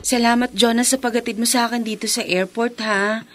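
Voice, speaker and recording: A woman's voice: fairly high pitch, steady tone, a little fast, faint music behind, close to an everyday voice. An actress playing an affectionate, devout young woman who works overseas, earnest and emotive in a radio drama.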